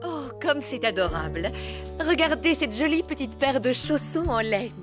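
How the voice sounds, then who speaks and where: A kindly cartoon mother turtle, gentle and polite. Her voice is fairly high and lilting, with clear music behind it. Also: lively intonation, moderate pace. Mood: warm, appreciative. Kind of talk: French cartoon dubbing.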